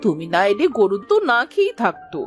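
A woman's voice: mid-range voice, huge pitch swings, brisk, faint music behind. A woman playing an unwell, grateful village auntie in a Bengali cartoon story, plaintive and kindly. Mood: ailing, grateful.

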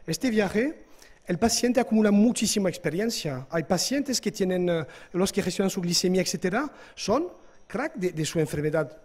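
A man, giving a conference talk in a hall with halting hesitations and restarts. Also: high-pitched, a little mellow. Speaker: conference speaker. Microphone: lectern microphone.

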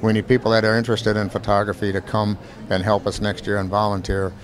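An older American man in his sixties speaks in a low voice with little variation in pitch. He explains things in a matter-of-fact way, as an organizer being interviewed on a handheld mic. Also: little pitch movement, quick pace. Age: 60s. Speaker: photo exhibit organizer. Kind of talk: unscripted interview talk. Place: indoor exhibit hall at a county fair. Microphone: handheld microphone.

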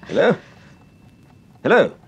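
An actor with a British accent playing a wry, decisive detective husband in an old radio drama. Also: male voice, slow, fairly bright tone, muffled recording. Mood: puzzled. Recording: faint rumble, old radio recording.

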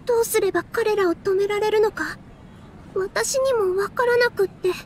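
女性が演じる、ゲームの若い踊り子。柔らかく丁寧で誠実な話し方の優しい娘。. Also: female voice, very high-pitched, moderate pace, slight background noise. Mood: earnest, troubled. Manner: soft, patient, sincere. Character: gentle, earnest young female dancer, encouraging friend.

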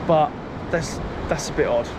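Man, blocked nose, casual rambling vlog talk, outdoor beach, loud crashing waves. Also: on the high side, normal speed. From England. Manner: chatty, rambling, self-correcting with small laughs. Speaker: fishing vlogger. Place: open beach by the sea. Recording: loud surf noise outdoors. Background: loud waves and wind.

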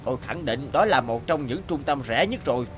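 A man's voice: mid-range voice, expressive, brisk, faint music behind, natural voice. A man voicing a patient, sensible office colleague in a cartoon, explaining things earnestly and with measure. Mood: earnest, reproving. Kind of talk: animated moral-tale voice acting.

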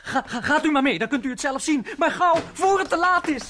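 Young lab boy, panicky stammerer, high and jittery, old radio play with light hiss. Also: lively intonation, a little fast, sharp tone, exaggerated voice. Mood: frightened. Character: frightened superstitious young male lab helper. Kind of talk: 1960s Dutch radio drama acting.

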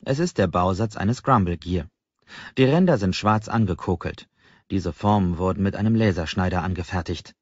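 A man's voice: sits low, moderate pace, dry recording, muffled recording. A man narrating a first-person novel as an audiobook in a scripted literary reading style.

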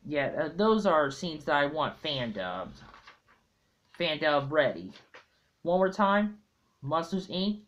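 A young man talking casually to viewers in a halting, hesitant way, recorded at home on a tablet's built-in mic. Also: on the high side, a bit bright. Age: young adult. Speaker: amateur fandub video maker. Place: small room at home. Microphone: tablet built-in mic at arm's length.

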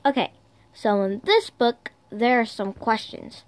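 A woman reading picture books aloud for toddlers in a sing-song, deliberate, child-directed way. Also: fairly high pitch, sing-song, dry recording. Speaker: storyteller, video host.

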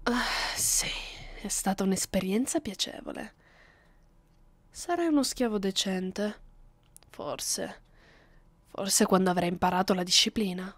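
Girl doing a bossy cruel vampire lady, asmr roleplay, real slow, half whispery, super dry close sound. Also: medium pitch, dry recording, natural voice. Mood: satisfied, menacing.

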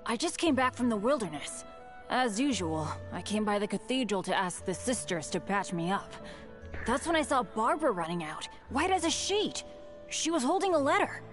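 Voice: medium pitch, very animated, moderate pace, lightly acted. An actor playing an earnest, plucky teenage boy adventurer in a video game, speaking urgently. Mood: concerned. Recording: game audio with music.

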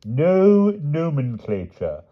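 A man reading an audiobook, voicing a solemn knight who speaks slowly and deliberately. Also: fairly low pitch, sing-song, slightly rough, muffled recording, pitched above the performer's own voice, exaggerated voice. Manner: solemn, measured. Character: solemn foreign knight and tutor. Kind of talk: audiobook character voice.

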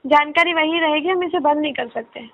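Female call centre agent, very high voice, polite and formal, on a thin narrowband phone line. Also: a little fast. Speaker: telecom helpline agent. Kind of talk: scripted customer service talk.

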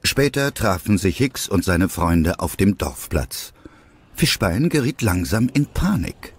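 Animated low-voiced male storyteller. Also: unhurried, husky. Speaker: narrator. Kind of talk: scripted storytelling narration.

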